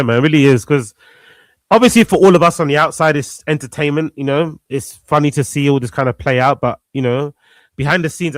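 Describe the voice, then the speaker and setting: A man's voice: mid-range voice, no echo. A man in a casual conversation, earnest and reflective, repeating phrases for emphasis. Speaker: podcast guest.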